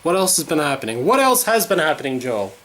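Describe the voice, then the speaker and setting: A man's voice: on the high side, sing-song, fast, fairly bright tone, a little hiss or hum. Sweary rambling man. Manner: rambling, sweary, self-mocking. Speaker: vlogger. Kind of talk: casual vlog chat.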